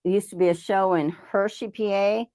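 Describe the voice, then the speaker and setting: A woman's voice: medium pitch, fairly even intonation, normal speed, dark tone, no echo. Folksy middle-aged woman, dry sound. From Ohio, United States. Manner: folksy, chatty, laughs easily. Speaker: guest. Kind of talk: casual chat.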